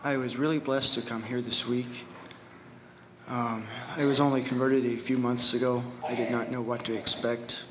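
A man's voice: mid-range voice, level tone, noisy background. Earnest young man in an echoey hall. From Ohio, USA. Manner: earnest, halting, reads his poem slowly. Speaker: Bible school attendee giving testimony. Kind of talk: spoken testimony and recited poem. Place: church hall. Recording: echoey hall with long reverb.

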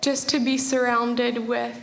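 A young woman from Pennsylvania and Tanzania giving a hesitant testimony at a Bible school, with many filler pauses. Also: a little high, flat delivery, creaky, a little hiss or hum. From Pennsylvania, USA and Tanzania. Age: young adult. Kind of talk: spoken testimony. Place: church hall. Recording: echoey hall with long reverb.

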